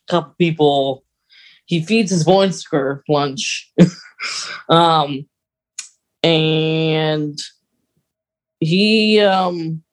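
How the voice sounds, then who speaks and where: Podcast cohost rambling thru a recap, really slow talker, kinda halting, casual chat. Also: medium pitch. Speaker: podcast co-host.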